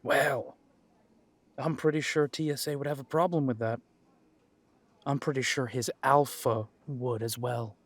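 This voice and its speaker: A man's voice: medium pitch, fairly even intonation, a little slow, pauses often, no echo. Man playing a wry, unruffled pack alpha. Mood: wry, assertive. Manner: dry, sarcastic, hesitant when weary. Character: wry, tired male werewolf pack alpha, unruffled and protective. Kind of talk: audio drama acting.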